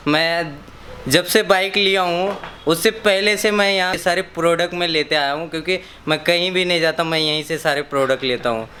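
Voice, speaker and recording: Casual man, slightly high voice. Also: normal speed, bright, forceful tone. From India. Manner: informal Hindi mixed with English words. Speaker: motorcycle vlogger. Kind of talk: casual vlog chat. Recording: some background noise.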